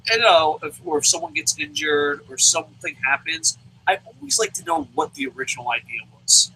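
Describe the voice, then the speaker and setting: A man chatting loosely about wrestling as a podcast host, his fairly high, bright voice swinging up and down as he rambles. He swears freely and stumbles over restarts, with a faint hum sitting under the recording. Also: very animated, fairly quick, low-quality recording. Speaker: wrestling review show host. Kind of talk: casual podcast chat, profane. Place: lives in Japan.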